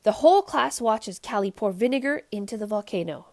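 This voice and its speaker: A woman hosting a children's read-aloud, narrating a storybook in an expressive, animated way. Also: mid-range voice, fairly quick.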